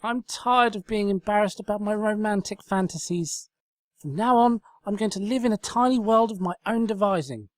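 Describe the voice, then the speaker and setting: A man's voice: moderate pace, no echo, studio quality, lightly acted. A man voicing a manic, bossy young female unicorn in a comedic story reading, ranting and melodramatic. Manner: shrill, ranting, insistent.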